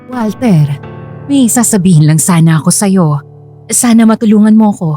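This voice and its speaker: A woman's voice: medium pitch, lively intonation, moderate pace, mellow, faint music behind, close to an everyday voice. An actress playing a middle-aged Filipino mother in a radio drama, chatty, insistent and coaxing in homely everyday speech.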